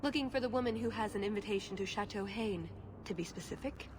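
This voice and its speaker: Actress doing a cool teasing elf thief lady for a game, medium pitch, clear background noise. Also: fast talker, lightly acted. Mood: cocky, teasing. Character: confident, wry elven assassin-thief woman recruiting an accomplice. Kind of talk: video game cutscene acting.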